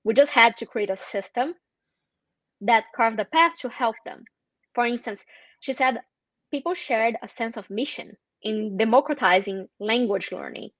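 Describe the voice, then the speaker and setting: A woman guest in an interview, speaking thoughtfully with frequent "like" fillers and slowing down for emphasis. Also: a little high, fairly bright tone, no room sound, highs cut off. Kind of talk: interview conversation.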